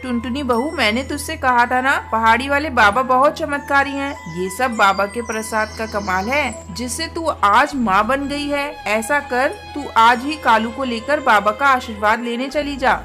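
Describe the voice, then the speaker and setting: A woman's voice: high-pitched, normal speed, hardly any pauses, bright, forceful tone, faint music behind. A woman voicing a bossy older mother-in-law bird in a cartoon, commanding and insistent. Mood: pleased, instructing. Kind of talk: Hindi cartoon story dialogue.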